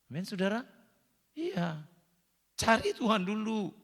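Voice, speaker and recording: A man with a high, gravelly voice preaches to his congregation, circling back on his phrases and leaning hard into key words. The sound carries a long church-hall reverb around him. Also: big pitch swings, unhurried, fairly bright tone. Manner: repeats phrases, addresses congregation directly, emphatic rises. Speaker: pastor. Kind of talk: sermon.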